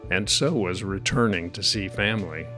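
Low-voiced radio host narrating. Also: male voice, moderate pace, pushed and bright, faint music behind. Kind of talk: public radio interview and narration.

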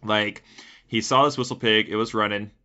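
Bright-voiced, blunt male podcast co-host. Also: fairly low pitch, fairly even intonation, normal speed. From Texas. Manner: blunt, swears freely. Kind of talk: casual podcast chat, storytelling. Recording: lo-fi muffled audio.

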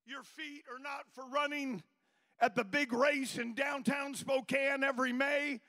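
A pastor preaching a fiery Pentecostal sermon in a church, shouting as he urges the congregation to praise. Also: extremely high pitch, level tone, unhurried. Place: church sanctuary.

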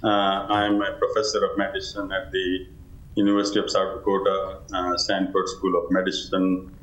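Man, middle-aged, halting, flat low voice, muffled roomy call audio. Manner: halting, many pauses. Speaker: transplant physician guest. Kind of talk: answering interview questions. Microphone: video call.

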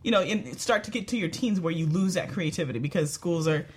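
A woman's voice: lowish voice, fast talker, slightly rough. A woman guest on a talk show, telling stories in a casual, candid way. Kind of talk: casual conversational storytelling.

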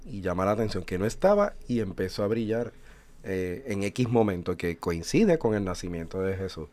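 A man's voice: on the low side, very animated, moderate pace, darkish tone. A man in his forties co-hosting a religious radio chat, explaining thoughtfully with hesitant fillers. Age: 40s. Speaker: radio show co-host.

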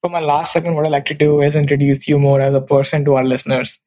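Male podcast host, bright-ish higher voice, asks prepared questions. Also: little pitch movement, quick pace. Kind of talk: interview questions.

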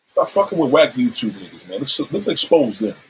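A man's voice: mid-range voice, very animated, a little fast, a little hiss or hum, low-quality recording. A man in his seventies ranting on a livestream, combative and profane, issuing threats and calling out rivals. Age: 70s.

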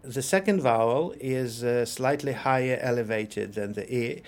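A man, a lecturer and linguist, explaining pronunciation in a measured, precise way with pauses and fillers. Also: mid-range voice. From Ukraine. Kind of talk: explanatory interview answers.

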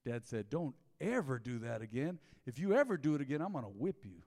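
A man, preaching a sermon in a folksy storytelling way, dropping to low asides and rising to loud emphatic bursts. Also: sing-song, brisk, long reverb tail. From Texas. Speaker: pastor. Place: church sanctuary.

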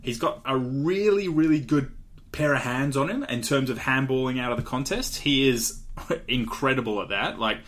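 A man's voice: medium pitch, normal speed, fairly bright tone. A young Australian man co-hosting a podcast, chatting casually through a draft with fillers and frequent laughs. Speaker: podcast co-host. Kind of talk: casual chat, draft analysis.

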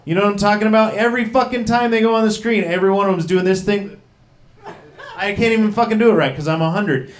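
A man's voice: high-pitched, fast, reverberant. A man in his thirties doing a stand-up comedy set, rambling and profane, poking fun at himself. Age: 30s. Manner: profane, rambling, self-deprecating. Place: comedy club stage.

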